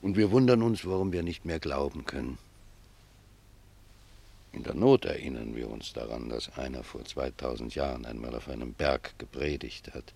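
A man's voice: a bass voice, expressive, gravelly, darkish tone, husky, full character voice. A man playing a humble, pious old gardener in a German radio drama, speaking reflectively and with deference to his superior. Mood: pensive, devout. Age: older. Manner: reflective, deferential, unhurried phrasing.

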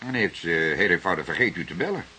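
Patient older police inspector, very lively intonation, deep, slow musing questions, crime drama, bit of hiss. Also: male voice, exaggerated voice. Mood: probing, musing. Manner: deliberate, hesitant, musing questioning. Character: calm, patient senior male police detective, gently probing. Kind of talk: naturalistic crime drama acting. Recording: hissy recording, faint noise.

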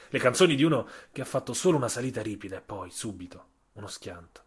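A male narrator reads a scripted literary story for radio. His mid-pitched voice is a little husky. He phrases with care, leaning on key words and leaving dramatic pauses between them. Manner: measured, dramatic pauses and emphasis. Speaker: writer and narrator of an audio story.

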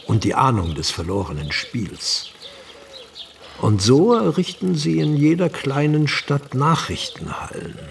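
An actor playing a frail, courteous old Turkish gentleman in a radio play, speaking formally and with weight, a pious and grave sage. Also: on the low side, creaky, recorded from a distance, a little hiss or hum, exaggerated voice. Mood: grave, warning. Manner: slow, deliberate, formal, weighty.

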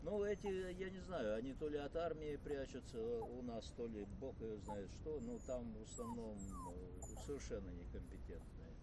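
A man's voice: mid-range voice, wildly swooping pitch, moderate pace, hardly any pauses. An older man, a retired university lecturer, chatting casually and hesitantly with many filler sounds outdoors. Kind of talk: casual conversation. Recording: distant mic, loud outdoor noise.

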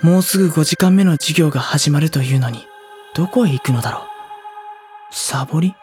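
A man's voice: on the high side, brisk, a little mellow, faint music behind, close to an everyday voice. An actor playing an anxious, introspective teenage schoolboy, narrating his brooding inner thoughts in a Japanese audio drama. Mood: conflicted.

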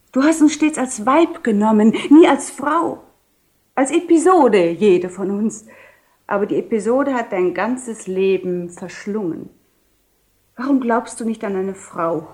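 A woman playing a self-possessed widowed duchess with measured, solemn, theatrical delivery in a radio play. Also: on the high side, darkish tone. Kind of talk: 1970 German radio play acting.

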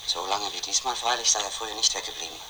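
A man's voice: lowish voice, fast talker, very noisy, lightly acted. An actor playing a brooding, obsessed man who narrates his own investigation in a radio play, intense and questioning himself. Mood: brooding, agitated.

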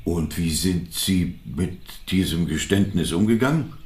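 Radio play investigator, lowish voice, terse questions, echoey room. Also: male voice, lively intonation, measured pace, darkish tone. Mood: probing, measured. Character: probing male investigator taking a witness statement. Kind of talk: radio drama dialogue.

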